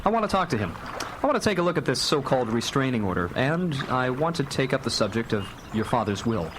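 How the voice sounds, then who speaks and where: Protective lawyer dad male actor, radio drama: quick, articulate, unbending, clear background water noise. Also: medium pitch, big pitch swings, natural voice. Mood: confident. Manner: firm, articulate, slightly formal. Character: principled, protective father and attorney, firm and dryly confident. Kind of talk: live radio drama acting. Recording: noisy live radio play, watery ambience.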